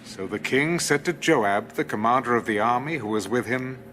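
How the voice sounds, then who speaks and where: A man narrating scripture in a solemn, deliberate, dramatic style. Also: medium pitch, a bit bright, a little hiss or hum. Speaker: audio Bible narrator.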